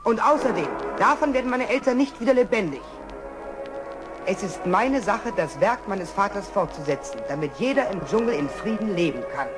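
A noble young lion in a children's radio play. The voice is high and animated, principled and earnest. Loud music runs underneath. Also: male voice, normal speed. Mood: resolute. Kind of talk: German children's audio drama acting. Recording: music bed under the dialogue.

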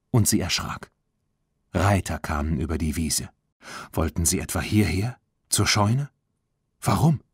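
Male audiobook narrator, low pitch, expressive children's storytelling, clear enunciation, dry recording. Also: dry recording.

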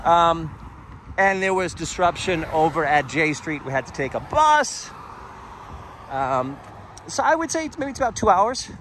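A man's voice: fairly high pitch, lively intonation, a little slow, a bit bright. Chatty New man walking outdoors. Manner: chatty, digressive, tour-guide explaining. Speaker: walking tour vlogger. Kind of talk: casual walking narration. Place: outdoors on a bridge footpath by the sea. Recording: outdoor street noise. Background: traffic and wind noise.

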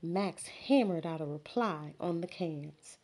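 A woman, a teacher, reading a children's picture book aloud with slow, expressive storytelling. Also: lowish voice. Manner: slow, expressive storytelling with sound words and character voices.

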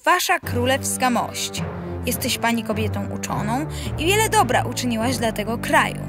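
A woman's voice: on the high side, expressive, normal speed, music in the background, natural voice. A woman voicing a young boy who plays a firm, courteous king with formal declamation in a children's radio play.

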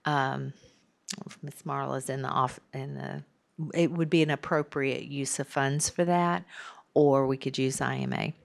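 A woman's voice: rumbling low, fairly even intonation, a little slow, gravelly. A woman presenting a course proposal at a podium to a school board, hesitant with frequent um's. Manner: hesitant, filler-laden explaining. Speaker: district staff presenter. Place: large board meeting room. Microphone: podium microphone.

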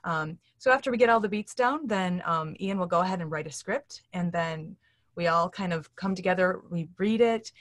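Middle-aged woman, lowish voice, chatting casually. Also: normal speed, no echo. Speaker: interview guest, audio drama producer. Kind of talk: casual conversational explanation. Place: indoor room, seated on a leather couch.

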